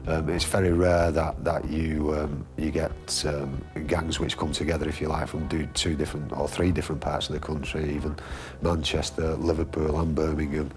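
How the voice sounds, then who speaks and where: A man giving unscripted interview commentary as a police officer, phrasing his thoughts haltingly. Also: rumbling low, flat delivery, soft background music.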